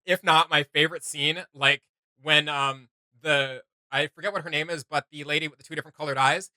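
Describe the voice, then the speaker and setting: A man with a very bright, forward voice talks as a casual reaction host. He sits a little high in pitch and rambles eagerly, thinking aloud. The sound is close and dry. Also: fairly even intonation, brisk, no echo, poor audio.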